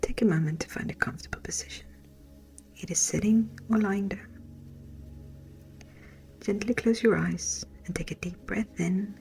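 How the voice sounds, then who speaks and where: A woman with a breathy, whispery voice gently and soothingly guides a meditation in a measured way. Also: medium pitch. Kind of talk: slow guided meditation narration. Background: music.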